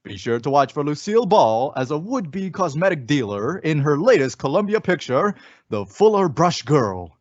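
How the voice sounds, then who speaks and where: A man's voice: a little high, sing-song, normal speed, dry recording. A formal announcer in the 1950s radio manner, performed by a man. He presents scripted copy in a very dry, slightly muffled recording. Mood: formal, presentational.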